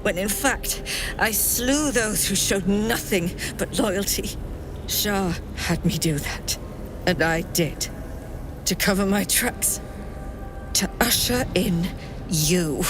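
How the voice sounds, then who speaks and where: A woman's voice: mid-range voice, slow pace, lightly acted. A woman playing a proud, bitter cult leader and cruel mentor in a fantasy game, speaking in a grandiose, taunting way. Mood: solemn, unrepentant. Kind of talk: theatrical fantasy game acting. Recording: music playing behind the voice.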